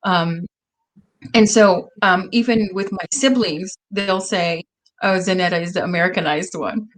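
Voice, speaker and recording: A woman hosting a casual talk show, speaking in a thoughtful, halting way with many restarts. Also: fairly low pitch, fairly bright tone, poor audio. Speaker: host. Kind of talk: casual conversation on a video podcast.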